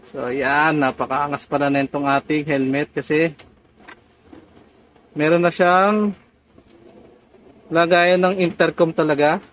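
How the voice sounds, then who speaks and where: A Filipino man with a noticeably high voice narrates a casual hands-on tutorial. He keeps speaking straight to his viewers as he explains each step. The audio is dull and lo-fi. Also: little pitch movement, a little slow, long pauses, fairly bright tone, a little hiss or hum. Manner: repeatedly addresses viewers as guys. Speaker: tutorial vlogger.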